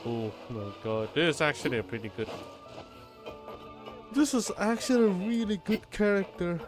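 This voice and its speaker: A man doing casual live gameplay commentary as a streamer, halting and often repeating how his phrases start. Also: high-pitched. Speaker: game streamer. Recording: faint game music behind.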